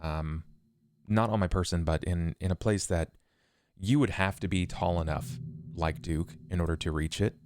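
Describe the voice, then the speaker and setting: A man playing a tabletop role-playing game, talking through his character's actions in a halting, thoughtful way. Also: deep voice, a little mellow, no echo, studio quality. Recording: music playing under the voice. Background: music.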